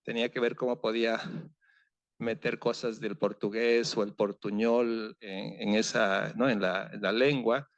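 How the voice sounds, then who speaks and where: A man's voice: lowish voice, fairly even intonation, measured pace, dry recording, muffled recording. A novelist on a seminar panel, a man thinking aloud with many fillers and restarts. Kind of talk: panel discussion.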